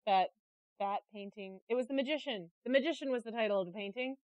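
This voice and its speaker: A woman's voice: fairly high pitch, fast talker, a little mellow, no echo, dull audio. Giggly woman in a dry-sounding interview. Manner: giggly, laughs often while telling anecdotes. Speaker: interview guest, creative director and artist. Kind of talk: casual conversational interview.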